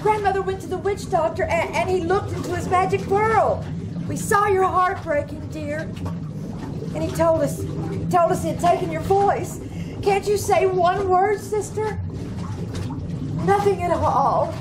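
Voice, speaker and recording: A woman's voice: extremely high pitch, a little slow, noisy background. A woman playing a tender, sorrowful young mermaid in a 1940s-style radio play, earnest and theatrical. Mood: sorrowful, pleading.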